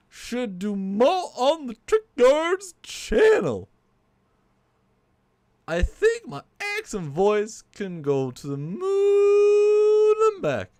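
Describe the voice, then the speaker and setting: A man's voice: extremely high pitch, a little mellow, no echo, pitched above the performer's own voice, exaggerated voice. A man voicing a grumpy male puppet, a former Shakespearean actor stuck with a put-on Southern colonel drawl, theatrical and insulting. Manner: theatrical, insulting, self-pitying bluster. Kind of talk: comic puppet bit on a livestream.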